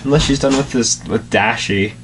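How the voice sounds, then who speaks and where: A man's voice: mid-range voice, moderate pace, a bit bright, slight background noise. A man chatting casually as a co-commentator, reacting with incredulous exclamations.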